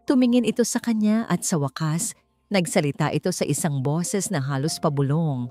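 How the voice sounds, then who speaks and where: Female narrator for dramatic fiction, low and soft-toned, over faint music. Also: moderate pace. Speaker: storyteller. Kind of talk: scripted dramatic story narration.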